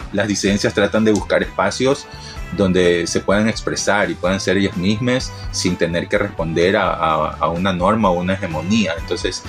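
A man from Ecuador with a coastal Ecuadorian Spanish accent, a DJ and producer giving an earnest, defiant recorded greeting. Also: low-pitched. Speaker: DJ and music producer.

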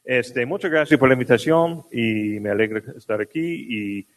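A man, native English speaker, giving a lecture in Spanish with an English accent, relaxed and self-deprecating. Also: medium pitch, moderate pace. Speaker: guest lecturer. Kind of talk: academic lecture.

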